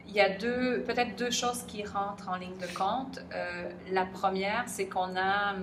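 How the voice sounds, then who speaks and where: A middle-aged Québécoise woman with a Québécois French accent, answering interview questions thoughtfully and pausing often to search for her words. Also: medium pitch. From Quebec. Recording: echoey room, distant mic, background noise.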